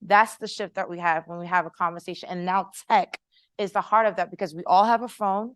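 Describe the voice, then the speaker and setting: A woman's voice: fairly low pitch, fairly even intonation, fairly quick, no room sound. A middle-aged Haitian American woman with an American accent, a startup founder speaking persuasively on a conference panel. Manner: passionate, persuasive, audience-engaging. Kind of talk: panel discussion answer. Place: conference room with audience.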